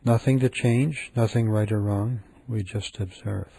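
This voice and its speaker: A man leading a guided meditation in a gentle, calm, unhurried manner with frequent pauses. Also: fairly low pitch, gravelly, husky.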